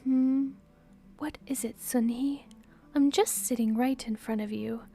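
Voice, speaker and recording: A woman's voice: high-pitched, steady tone, unhurried, mellow. A woman voicing a serious, distracted young noble lady in a story, questioning and mildly put-upon. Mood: puzzled, irritated.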